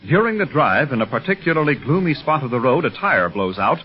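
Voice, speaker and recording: An actor as a dramatic announcer narrating a 1930s radio adventure serial in a declamatory, scripted manner. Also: male voice, mid-range voice, sing-song, a little fast, natural voice. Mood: urgent recap. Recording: muffled old radio recording with music.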